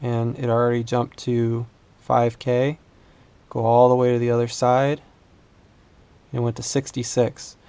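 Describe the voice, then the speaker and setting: A man giving an unscripted hands-on demonstration as a repair instructor, thinking aloud in a halting way. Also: medium pitch, flat delivery, warm tone, clear, resonant tone, dry recording. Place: indoors at a workbench.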